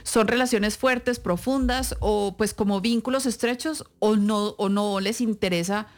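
A woman's voice: mid-range voice, unhurried. Polite woman interviewer asking questions. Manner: polite, inquisitive. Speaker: interviewer, host.

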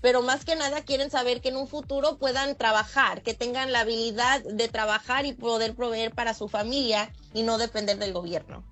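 A woman from Michoacán, a lawyer who is a radio guest, explaining legal rules in a steady, patient way. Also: fairly high pitch, fairly quick, hardly any pauses. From Michoacán, Mexico. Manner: steady and explanatory, laughs easily.

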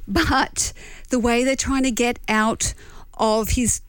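An Australian woman co-hosting a radio show and bantering with quick asides. Her voice sits a little high, and the studio sound is dry and close. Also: fairly even intonation, clear, resonant tone, dry recording. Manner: chatty, laughing, quick asides. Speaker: radio co-host. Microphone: studio microphone.

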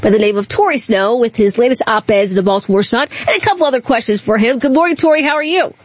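A woman's voice: fairly high pitch, quick pace. A woman hosting a talk radio show, chatting casually with lots of fillers and restarts.

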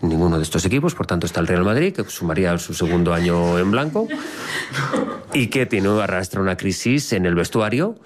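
A man's voice: sits low, animated, a little fast, a little hiss or hum. A man whose first language is Catalan, hosting a casual radio chat and walking through the news point by point with a teasing edge. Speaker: radio host.

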